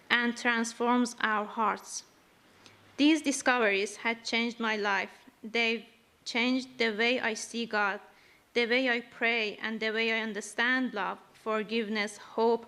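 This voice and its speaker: A woman not speaking her first language gives a slow, earnest testimony at church, reading carefully from prepared notes. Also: a little high, a bit bright. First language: not English. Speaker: church member giving a testimony.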